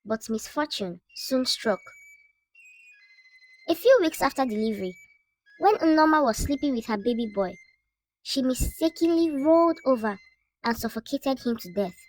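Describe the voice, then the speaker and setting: A woman narrating a scripted folktale as a storyteller, in a measured, dramatic way. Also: sits high, expressive, a little mellow, rough recording.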